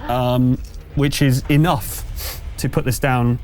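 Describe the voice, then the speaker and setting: A man's voice: medium pitch, flat delivery, takes it slowly, a little mellow. Man running a tabletop game, conversational and wry. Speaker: game master. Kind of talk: casual game-master narration of a tabletop roleplaying game. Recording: music playing behind the voice. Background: background music.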